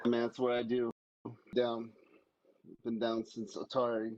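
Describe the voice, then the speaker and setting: A man appearing as a podcast guest, talking casually in a blunt, cynical, deadpan way. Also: medium pitch, unhurried, no room sound, lo-fi sound. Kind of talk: casual chat.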